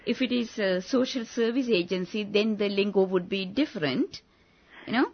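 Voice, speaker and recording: A woman hosting a radio talk show, who speaks hesitantly and often pauses on "uh". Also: medium pitch. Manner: hesitant, with many "uh" fillers. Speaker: radio host. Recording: dull lo-fi radio recording.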